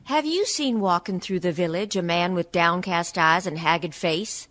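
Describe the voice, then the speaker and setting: A woman playing a bitter, wronged wife speaking from the grave in a measured radio play monologue. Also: on the low side, fairly bright tone, close to an everyday voice. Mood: bitter, mournful.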